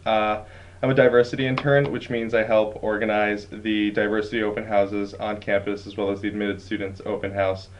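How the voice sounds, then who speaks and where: A man's voice: lowish voice, level tone, fairly quick, lo-fi sound, slight background noise. A young male college student from Brooklyn, New York, an intern talking upbeat and casually to prospective students with many ums and likes. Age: young adult. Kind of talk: live online presentation to prospective students.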